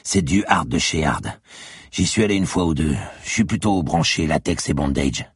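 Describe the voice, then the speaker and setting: A man's voice: a bass voice, lively intonation, normal speed, husky, no echo, clean studio sound, full character voice. A man voicing a scrawny, sleazy, cowardly sex-shop owner with a crude, mocking, whiny manner in an audiobook. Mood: defiant, boastful.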